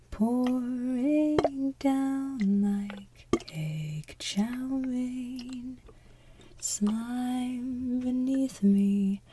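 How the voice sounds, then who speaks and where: A woman softly singing as an evil, gross sludge monster from a cartoon, in a drawn-out, gloating ASMR style. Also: fairly high pitch, background noise.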